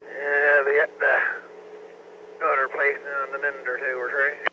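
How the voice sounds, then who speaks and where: A man's voice: medium pitch, flat delivery, slow, bright, forceful tone. A man with a Newfoundland accent talking from his vehicle over a mobile radio, laconic with short replies. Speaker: ham radio operator. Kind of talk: casual radio chat. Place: in a moving vehicle. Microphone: mobile radio mic. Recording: dull radio audio with faint vehicle noise.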